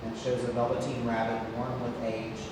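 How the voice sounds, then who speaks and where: A man narrates stage directions at a play reading in a medium-pitched voice. He is far from the microphone in a very echoey, noisy hall. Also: monotone, normal speed. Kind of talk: scripted narration at a play read-through. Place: theatre room, far from the mic.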